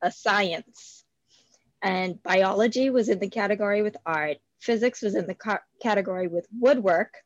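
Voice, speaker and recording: A woman's voice: medium pitch, moderate pace, no echo, dull audio. A woman, talking as an interview guest, hesitant with many fillers and frequent laughs. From Bahamas. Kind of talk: casual interview.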